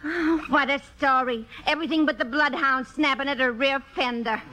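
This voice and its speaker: Lady playing a sarcastic american ex-vaudeville maid, high pitched, blunt and folksy, old radio play. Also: moderate pace, background noise, lightly acted. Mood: sardonic. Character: blunt, wisecracking ex-vaudevillian woman, theater star's maid. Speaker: radio actor. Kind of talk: 1950s radio drama acting.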